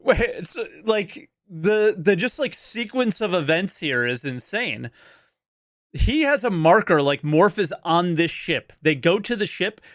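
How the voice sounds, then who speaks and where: A man's voice: high-pitched, very animated, measured pace, a bit bright, no room sound, clean studio sound. A man hosting a reaction video, chatting casually and laughing often at what he watches. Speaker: reaction host.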